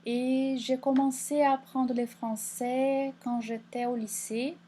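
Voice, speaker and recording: Brazilian prof lady, mellow voice, easygoing intro in french, bit of noise behind. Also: high voice, level tone, takes it slowly. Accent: Brazilian Portuguese. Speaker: university professor. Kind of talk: self-introduction.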